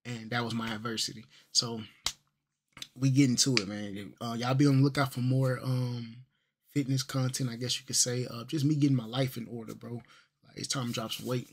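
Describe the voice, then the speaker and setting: A laid-back man rambles through a casual vlog, full of fillers and slang. His voice sits at an ordinary middle pitch. He talks into a desk mic in a small bedroom. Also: fairly even intonation, fairly quick, slight background noise. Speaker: vlogger. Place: small bedroom with gaming setup. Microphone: desk microphone.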